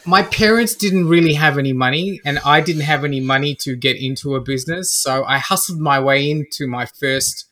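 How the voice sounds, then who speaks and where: A man's voice: a little high, moderate pace, hardly any pauses, bright, forceful tone. An Australian-accented man around forty, a business coach answering interview questions conversationally. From Australia (Melbourne), born in Fiji. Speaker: podcast guest, business coach.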